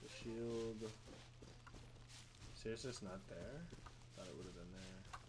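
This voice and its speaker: A man's voice: low-pitched, fairly even intonation, takes it slowly, rough recording. Laid-back man streaming over game music. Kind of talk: casual gameplay commentary. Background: video game music and sounds.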